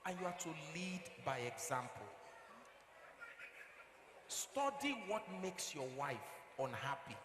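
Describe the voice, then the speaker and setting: A man's voice: fairly high pitch, very animated, slow, long pauses. A man with a Nigerian accent acting on stage as a firm, fatherly marriage counsellor, lecturing emphatically with repetition and rhetorical questions. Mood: firm, encouraging. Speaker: actor in a stage play. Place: theatre stage with live audience. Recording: echoey hall, noisy live stage recording.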